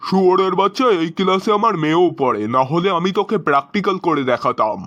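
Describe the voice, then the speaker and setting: Man acting an exasperated, higher-voiced cartoon grumbler. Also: lively intonation, fairly quick, a bit bright, lo-fi sound, slight background noise. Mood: irritated. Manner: grumbling, scolding. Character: exasperated, easily irritated man in cartoon skits. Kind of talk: cartoon comedy skit.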